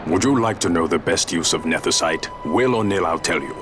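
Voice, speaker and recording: A proud, blunt sky pirate captain with a very low voice. He speaks in archaic, commanding phrases. Game music plays behind him. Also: animated, a little fast. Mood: wry. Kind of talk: video game cutscene acting. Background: game score music.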